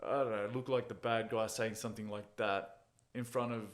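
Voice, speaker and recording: A man, an MMA fighter being interviewed, telling stories in a relaxed, casual way and chuckling at his own jokes. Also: fairly low pitch, moderate pace.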